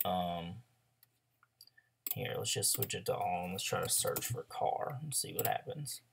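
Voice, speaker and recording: Hoarse young man with a gravelly voice. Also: low voice, unhurried, a little hiss or hum. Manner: loose and chatty, lots of ums and likes. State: hoarse after a throat illness. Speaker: online ads coach. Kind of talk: casual screen-share tutorial.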